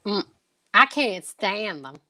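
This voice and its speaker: A woman's voice: mid-range voice, very animated, slow, sharp tone. A woman co-performing in an old-time radio show, bantering in a dramatic, grumbling, theatrical way. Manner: dramatic, grumbling, speaks of self in third person.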